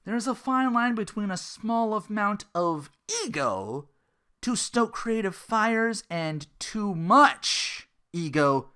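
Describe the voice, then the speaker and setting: Animated podcast host in their thirties, bright voice. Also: medium pitch, slow, clean tone. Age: 30s. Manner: sarcastic, profane, mocking. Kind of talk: casual comedic rant.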